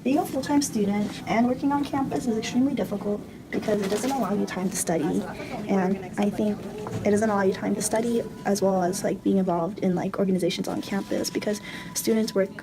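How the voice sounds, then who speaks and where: A woman's voice: medium pitch, steady tone, brisk. A young adult woman, a college student, describing her routine in a matter-of-fact way with "like" fillers, recorded in a car. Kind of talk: casual interview answers. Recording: vehicle noise behind.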